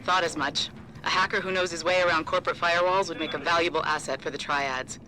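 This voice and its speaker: A woman's voice: lowish voice, steady tone, fast, pushed and bright, a little hiss or hum. An actress playing a tough, guarded female pilot who swears often in a video game cutscene. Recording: distant voice with long reverb over music.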